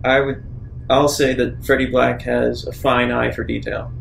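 A man's voice: mid-range voice, flat delivery, measured pace, gravelly, fairly bright tone, a little hiss or hum, rough recording. A man talking casually as a player in a tabletop roleplaying game, musing and asking questions.

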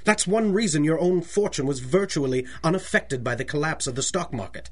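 An actor playing an older bank president in a radio drama, formal, fussy, deferential and anxious. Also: male voice, a little high, expressive, a little fast. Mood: grave, puzzled. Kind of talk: 1930s-style radio drama acting.

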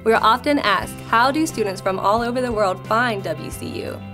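A woman's voice: a little high, moderate pace. A woman in her thirties giving a polished, upbeat scripted promotional narration for a university's international services. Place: university building lobby. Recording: music bed underneath.

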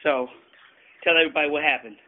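A man's voice: fairly high pitch, fairly even intonation, quick pace. A father patiently coaching his son through a repair job at home, filmed on a handheld camera. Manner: patient, coaching, giving short instructions. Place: indoors at home. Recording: tinny, lo-fi, faint noise.

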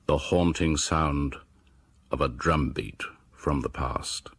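An actor playing a sinister host of a house of horror, narrating in a theatrical, measured, ominous way. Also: male voice, a bass voice, fairly bright tone, slightly rough, no room sound, heavily acted. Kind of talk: scripted radio horror narration.

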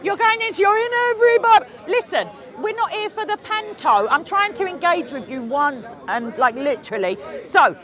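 A woman's voice: extremely high pitch, big pitch swings, normal speed, hardly any pauses. An English woman in her thirties, debating in a park with insistent, interrupting questions. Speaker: street debater. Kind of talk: heated street debate. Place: outdoor park among a crowd. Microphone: small clip mic on top. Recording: outdoor crowd chatter behind.

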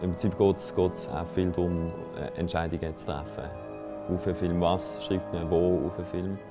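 A young man with a Swiss German accent speaks earnestly, with small hesitations. His voice is very deep and level, and music runs clearly underneath. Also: steady tone, moderate pace. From Basel, Switzerland. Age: young adult. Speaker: film music competitor. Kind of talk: interview. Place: indoor room.

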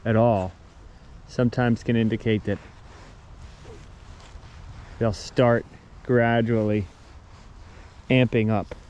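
A man with an American accent narrating his fishing trip on a creek bank, recorded on a chest-mounted action camera, relaxed and chatty but excitable when a fish bites. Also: fairly low pitch, takes it slowly, pauses often, highs cut off, slight background noise. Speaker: fishing vlogger. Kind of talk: casual outdoor narration. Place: creek bank outdoors in winter.

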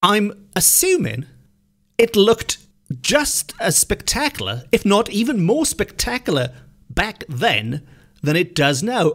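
A Welsh man hosting a solo folklore podcast in a chatty, self-deprecating way, with small restarts. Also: high voice. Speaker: podcast host.

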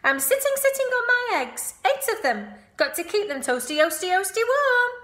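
Storybook goose sitting on eggs, fussy, chattering repeats, high shrill tone, voiced by a woman. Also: very animated, moderate pace, a bit bright, roomy sound, exaggerated voice. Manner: repeats words, clucking and chattering. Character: fussy broody mother goose.

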